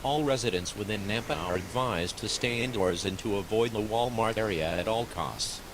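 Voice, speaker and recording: A man with a fairly low voice reads a scripted emergency advisory. He sounds like a broadcast announcer, and the recording is clearly noisy with hiss. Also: moderate pace. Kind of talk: scripted emergency alert reading. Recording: hissy, noisy broadcast audio.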